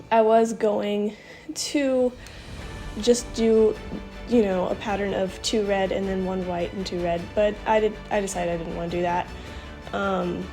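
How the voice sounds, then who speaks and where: A young woman with a stuffy voice from being sick, casually talking through her coloring in a rambling voiceover. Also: mid-range voice. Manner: relaxed, rambling with many ums. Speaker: art channel host. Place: home room, indoors. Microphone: camera mic, then voiceover.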